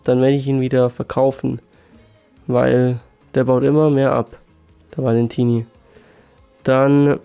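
A man with a cold and a sore throat, calmly commentating his own football video game match. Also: mid-range voice, clear, resonant tone, highs cut off. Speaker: gaming YouTuber. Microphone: USB condenser mic. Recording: faint game music behind.

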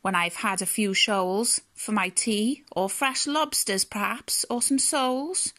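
A woman's voice: mid-range voice, measured pace, sharp tone. A woman voicing a big, mean, greedy shark in a bedtime story, sly and wheedling, with a sneering false sweetness.

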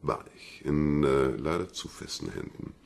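An actor playing a wry police investigator in a radio crime drama, with a gravelly, husky voice. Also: male voice, rumbling low, expressive, unhurried, slight background noise, exaggerated voice. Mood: sardonic. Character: wry, hunch-driven male police investigator.

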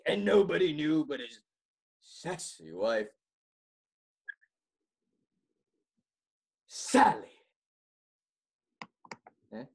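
A man's voice: a little high, breathy edge, exaggerated voice. A man playing a smug, swooping amateur writer. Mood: dramatic, gleeful. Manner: smug, animated, chuckling, dramatic pauses. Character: pompous, melodramatic amateur writer giving writing advice. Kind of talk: theatrical comedic monologue.

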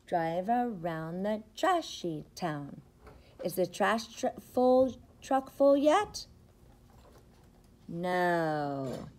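A middle-aged woman, a preschool teacher, reads a picture book aloud to young children with expressive, rhythmic, sing-song phrasing. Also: on the low side. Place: indoors, school classroom. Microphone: device camera at about arm's length.

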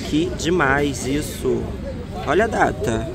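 A man vlogging casually from a busy outdoor flea market, excited and chatty, showing collectibles. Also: mid-range voice, slow. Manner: excited, chatty, often says "né" and "ó". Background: loud crowd.

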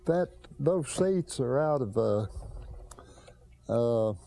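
An older man and garage owner answering questions in a plainspoken, hesitant way with many pauses, speaking in a large cluttered garage workshop. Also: medium pitch, animated, vocal fry, warm tone. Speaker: car restorer, garage owner. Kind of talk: casual interview answers.

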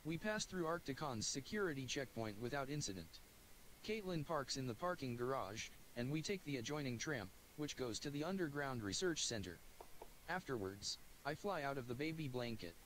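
A gaming video maker reading a visual novel's narration aloud in a plain, steady manner, recorded at a desk at home. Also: male voice, mid-range voice, moderate pace, a little hiss or hum, low-quality recording. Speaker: gaming YouTuber.

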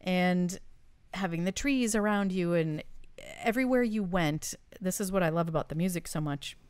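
A middle-aged woman co-hosting a casual home podcast, chatting enthusiastically and reminiscing, close on a studio boom mic. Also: moderate pace, darkish tone, clean tone, dry recording. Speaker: podcast co-host. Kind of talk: casual chat. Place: home studio room with shelves of collectibles. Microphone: studio mic on boom arm.

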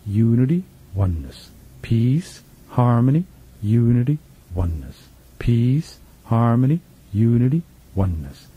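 A man leading a guided meditation as a spiritual teacher, gently instructing in a soothing, repetitive manner with trailing phrase endings, recorded in a studio. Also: mid-range voice, husky, muffled recording. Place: professional studio.

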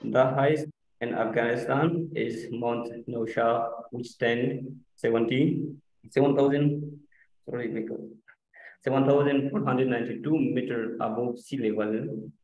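A man's voice: mid-range voice, steady tone, a little slow, a little mellow. A man with English as a second language giving a student presentation over a video call, hesitant with many fillers and restarts. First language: not English. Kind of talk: halting slide presentation. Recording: muffled, echoey video call audio.

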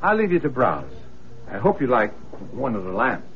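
A polite, plain-spoken seller played by a man in a radio play. His voice is medium in pitch, on a dull lo-fi recording. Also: very animated, moderate pace, slight background noise, close to an everyday voice. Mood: obliging. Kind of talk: radio drama acting.

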